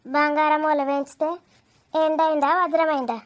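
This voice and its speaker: A woman's voice: sits high, flat delivery, normal speed, lightly acted. A woman voicing a scolding, sarcastic village mother in a cartoon comedy, nagging with a Telangana accent.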